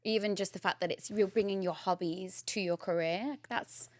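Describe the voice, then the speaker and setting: A woman's voice: medium pitch, steady tone, normal speed, dry recording. A woman hosting a podcast, reading a scripted intro and then chatting casually, bubbly and effusive with frequent laughter. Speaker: podcast host.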